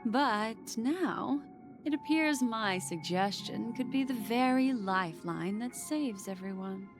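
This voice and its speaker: Slow, coy mysterious lady. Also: a little high, animated, over music. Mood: coy, persuasive. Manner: languid, coy, deliberate. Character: mysterious, teasing, elegant seductive woman. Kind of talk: video game voice acting.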